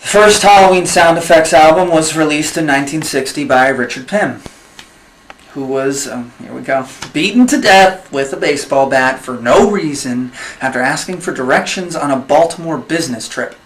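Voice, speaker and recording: A man playing a reluctant comedy-show presenter, halting and exasperated as he reads out grim facts. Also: a little high, animated. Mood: exasperated, baffled. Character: reluctant, exasperated male presenter reading grim fact cards. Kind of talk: comedy sketch hosting. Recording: roomy, echoing sound with faint noise.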